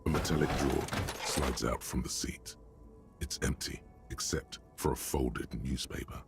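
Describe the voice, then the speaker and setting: Omniscient game narrator, extremely deep voice, gravelly texture, slow weighty descriptions. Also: male voice, music in the background. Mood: calm. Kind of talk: scripted video game narration.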